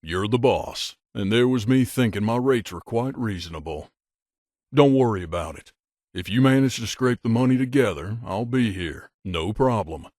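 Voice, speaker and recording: A man's voice: fairly low pitch, expressive, moderate pace, a little mellow, dry recording, clean studio sound. A man playing a middle-aged mercenary bodyguard in a video game, a blunt and guarded loner who talks in a terse, matter-of-fact, aloof way. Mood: firm, businesslike. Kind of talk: video game character dialogue.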